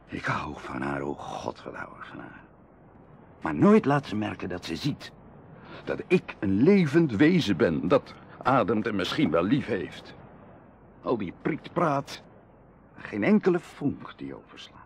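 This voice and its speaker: A hard-up aristocratic bachelor in a radio play, lovesick and proud. His low male voice swings widely and dramatically between hushed confiding and passionate outbursts. There is clear noise on the old recording. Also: wildly swooping pitch, unhurried, exaggerated voice. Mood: lovesick, aching. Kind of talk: Dutch radio drama acting.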